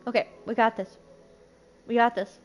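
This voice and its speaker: High-pitched woman streaming a game. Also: normal speed, highs cut off. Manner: excitable, dramatic, pleading exclamations. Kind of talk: live gameplay commentary, casual chat.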